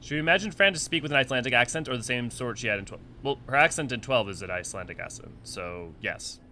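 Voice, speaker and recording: A man's voice: mid-range voice, expressive, fast, bright, forceful tone, a little hiss or hum. A man hosting a lore commentary, chatting casually, thinking aloud and reading descriptive text. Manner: chatty, thinks aloud with fillers and self-corrections. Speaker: lore channel host. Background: music.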